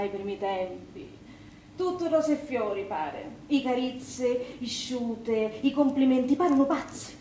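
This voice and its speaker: An actress playing a sharp-tongued, impatient sister at a wake in a stage drama, with a Sicilian accent. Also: female voice, fairly high pitch, reverberant, a little hiss or hum, poor audio. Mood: wry, wistful. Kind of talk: stage drama acting in dialect.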